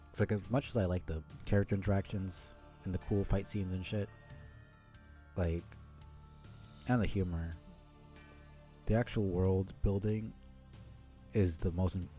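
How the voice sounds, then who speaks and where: A man's voice: deep voice, fairly even intonation, moderate pace, pauses often, warm tone, faint music behind. A man giving casual, rambling live-stream commentary in a blunt, sweary way. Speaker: streamer reading a webcomic aloud.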